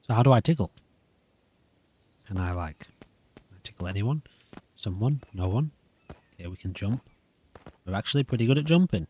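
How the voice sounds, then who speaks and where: A man's voice: on the low side, expressive, moderate pace, long pauses, a little mellow, slightly rough. A man giving casual let's-play commentary, chatty and musing aloud to himself. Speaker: gaming video host. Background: guitar music.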